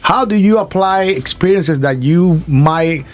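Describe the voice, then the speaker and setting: A man's voice: high-pitched, unhurried, soft background music. A man hosting a radio interview who switches between English and Spanish. He talks in a rambling way, with many stammered restarts. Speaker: radio show host.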